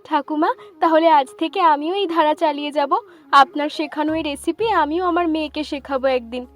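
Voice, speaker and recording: A woman's voice: sits high, a little fast, soft background music, close to an everyday voice. A woman voicing an eager, grateful young granddaughter in a cartoon story. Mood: eager, unsure. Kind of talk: cartoon story dialogue in Bengali.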